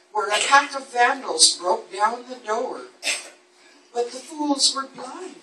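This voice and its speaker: A woman reading rhyming poems aloud at an event, with a measured, dramatic storytelling delivery. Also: fairly high pitch, pushed and bright. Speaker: poet reading at a book launch. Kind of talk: recited rhyming poetry reading. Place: indoor book launch event. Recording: echoey room, distant mic, faint noise.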